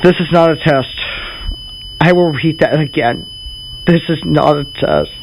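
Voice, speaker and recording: A faint hum sits under a fairly high-voiced man acting as a government representative in an amateur emergency broadcast drama. He delivers a solemn doomsday farewell haltingly, repeating himself. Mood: grave, resigned. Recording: noisy home recording with hum.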